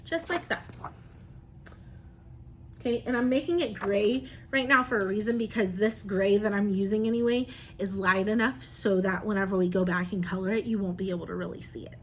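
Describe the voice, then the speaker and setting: A woman's voice: medium pitch, a little fast, a little hiss or hum. A woman, an elementary teacher reading and chatting to young children, often asking them questions and affirming their answers. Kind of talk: children's read-aloud and drawing lesson.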